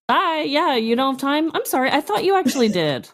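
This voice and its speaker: A woman's voice: high voice, very animated, normal speed. A woman podcast panelist chatting casually, with playful sarcasm. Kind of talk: casual comedic banter.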